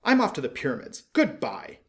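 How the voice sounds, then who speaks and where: A man's voice: on the high side, wildly swooping pitch, a little fast, voice pushed up. A man voicing a small, vain, fussy swallow in a storybook, blunt and sure of himself. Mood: brisk, cheerful. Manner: blunt, self-assured, fussy. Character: small male swallow, vain, brisk, fickle but polite. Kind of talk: storybook character voice.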